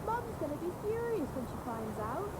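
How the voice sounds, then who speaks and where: A woman's voice: high voice, sing-song, normal speed, noisy background. A woman playing a bossy, sensible, exasperated young sister in an audio pantomime, scolding and theatrical. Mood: alarmed.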